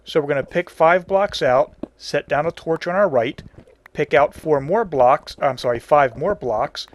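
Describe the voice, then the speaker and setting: Higher-voiced man giving a casual game tutorial. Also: a little slow. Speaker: tutorial host.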